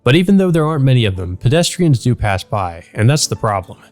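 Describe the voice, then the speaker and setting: A man's voice: mid-range voice, big pitch swings, fast talker, darkish tone. A man narrating a visual novel playthrough, reading the text aloud and adding casual commentary. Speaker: let's play narrator. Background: background music.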